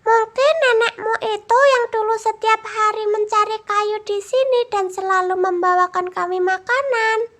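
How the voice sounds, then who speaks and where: A woman's voice: very high-pitched, fairly even intonation, moderate pace, a bit bright, pitched above the performer's own voice. A woman voicing a cute, friendly talking animal from a children's storybook, eager and sing-song. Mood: curious.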